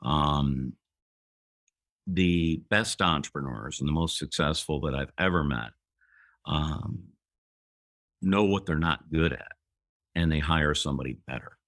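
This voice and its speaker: A man in his fifties, a guest entrepreneur on an interview podcast, speaking in a measured way with pauses. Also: rumbling low, fairly bright tone, no echo, studio quality. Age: 50s.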